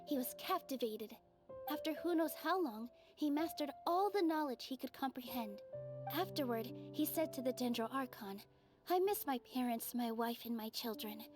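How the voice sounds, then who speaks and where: A woman voicing a gentle young female dancer in a video game, telling an old tale in a soft, measured way. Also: sits high, animated. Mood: storytelling. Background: game music.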